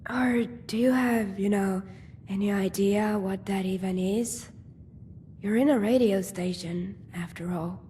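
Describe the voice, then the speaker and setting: A woman's voice: medium pitch, measured pace, mellow, a little hiss or hum, natural voice. A woman playing a practical, guarded adventuring companion in a video game, speaking casually and matter-of-factly. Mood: impatient. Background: music.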